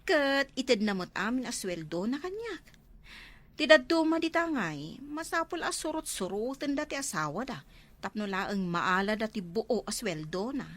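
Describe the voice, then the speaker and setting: A woman's voice: on the high side, very animated, moderate pace, a bit bright. A woman playing a proud, nagging village housewife in a comedy drama, speaking with an Ilocano accent.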